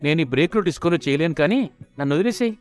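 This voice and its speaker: A man's voice: on the high side, animated, racing, faint music behind, pitched above the performer's own voice, natural voice. A man playing a lazy, henpecked husband who grumbles reluctantly and sarcastically in a comic audio story. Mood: resigned.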